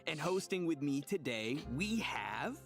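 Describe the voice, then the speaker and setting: A man's voice: on the high side, sing-song, unhurried. A man hosting a scripted game promo video in an upbeat, bouncy presenter manner. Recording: promo audio with faint music.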